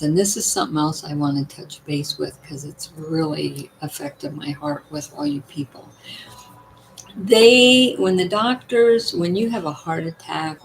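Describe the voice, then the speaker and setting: Older lady talking low, voice kinda worn, chatty, faint hiss behind. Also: low-quality recording. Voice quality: voice partly worn, weak. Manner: chatty, emphatic, asks "you know what?". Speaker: vlogger sharing health tips. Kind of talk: casual talk to viewers.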